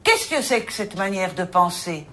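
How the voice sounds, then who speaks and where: A woman's voice: fairly low pitch, very animated, normal speed, a bit bright. A woman prosecutor, questioning a suspect firmly in her office. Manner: stern, firm, pointed questions.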